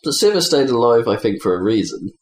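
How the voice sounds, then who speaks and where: A man's voice: mid-range voice, big pitch swings, fast talker. A man hosting a casual gaming chat, asking questions and thinking aloud. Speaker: podcast host. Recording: echoey room.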